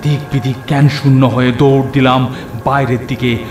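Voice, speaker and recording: A man's voice: mid-range voice, level tone, moderate pace, music in the background, close to an everyday voice. A man playing a young nephew who narrates a horror cartoon story in a dramatic way. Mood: terrified. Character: young nephew narrating his night of terror. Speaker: narrator and character. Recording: roomy echo, faint rain and thunder.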